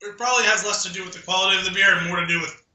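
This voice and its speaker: A man's voice: high voice, rapid-fire, pushed and bright, reverberant. A man co-hosting a podcast in casual chat, telling stories with offhand profanity. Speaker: podcast co-host.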